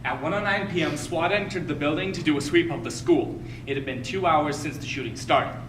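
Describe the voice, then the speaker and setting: A woman's voice: sits low, brisk, distant mic. Woman narrating in an echoey, noisy room. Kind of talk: scripted dramatic narration in readers theater.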